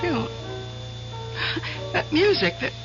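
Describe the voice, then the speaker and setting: A tender, nervous wife in a radio play. Her voice is high and very animated, with hesitant, repeated words. The recording is dull and lo-fi, with faint music behind. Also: slow pace, full character voice. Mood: anxious, puzzled. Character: anxious, tender middle-aged wife. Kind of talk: old-time radio drama acting.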